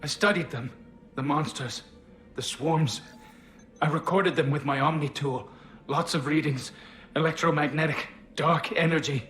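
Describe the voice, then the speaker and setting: An actor playing a traumatized, paranoid alien colonist in a video game, muttering fearfully in short, repetitive fragments. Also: male voice, a little high, unhurried, a bit bright, faint music behind. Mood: absorbed, muttering.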